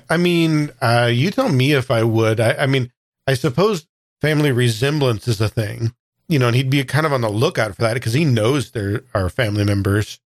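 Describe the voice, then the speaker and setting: A man's voice: mid-range voice, moderate pace, no room sound, clean studio sound. A man taking part as a player in a tabletop game, casually explaining what his character does.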